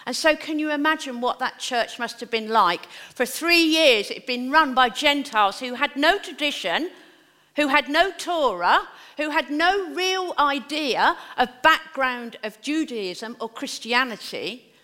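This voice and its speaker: A woman's voice: high voice, lively intonation, measured pace, pushed and bright. A woman, a teacher, giving a sermon in a church in a chatty, conversational way. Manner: chatty, self-deprecating storytelling before preaching. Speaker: preacher. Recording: long echo of a church hall.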